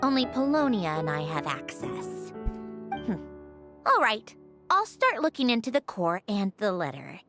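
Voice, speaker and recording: Proud, expressive young genius inventor. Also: female voice, mid-range voice, measured pace. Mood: focused, matter-of-fact. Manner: self-assured, dry, irritable edge. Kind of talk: video game voice acting. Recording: music playing behind the voice.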